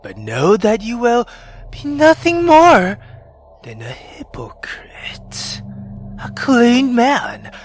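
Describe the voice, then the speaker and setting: A man voicing a menacing, contemptuous monster from a horror visual novel, in a hushed, breathy, theatrical way. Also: very high-pitched, huge pitch swings, slow pace, soft background music, voice pushed up, heavily acted. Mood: menacing, scornful. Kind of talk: dramatic visual novel reading.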